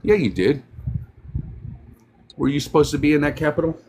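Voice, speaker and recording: A man's voice: fairly high pitch, normal speed, a little mellow. Mocking man with faint background noise. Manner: taunting, mocking. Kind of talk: heated online debate.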